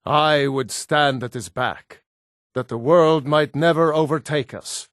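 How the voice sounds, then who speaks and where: Stern, measured Nord warrior played by a man. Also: fairly high pitch, no echo, clean studio sound, natural voice. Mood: proud, solemn. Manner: solemn, commanding, terse martial delivery. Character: gruff proud Nord warrior, stern battle-hardened brother-in-arms. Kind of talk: fantasy video game voice acting.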